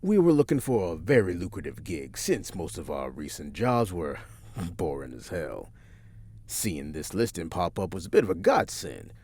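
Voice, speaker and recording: A man acting as a smooth-talking undercover operative with a deep, husky voice in an audio drama. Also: sing-song, normal speed, a little mellow, exaggerated voice. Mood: easygoing, assured.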